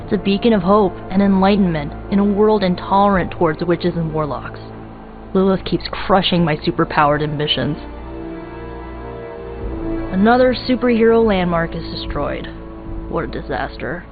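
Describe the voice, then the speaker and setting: A woman's voice: on the low side, natural voice. A woman voicing a young, idealistic witch in a video game, earnest and melodramatic. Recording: tinny band-limited game audio over music.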